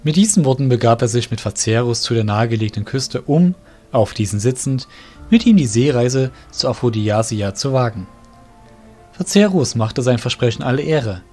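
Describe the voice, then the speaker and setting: A man narrating a fantasy audio drama in an even, storytelling manner. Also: medium pitch, moderate pace, soft background music.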